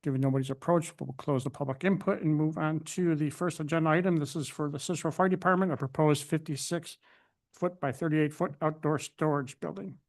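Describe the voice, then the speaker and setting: A man chairing a town planning board meeting, running through the agenda in a brisk, procedural way. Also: a little high, fairly even intonation.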